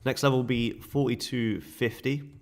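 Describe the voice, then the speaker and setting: A man with a sore, croaky throat, casually walking viewers through live trading charts. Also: mid-range voice, steady tone, moderate pace. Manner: casual, chatty explaining to viewers. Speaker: day trading streamer.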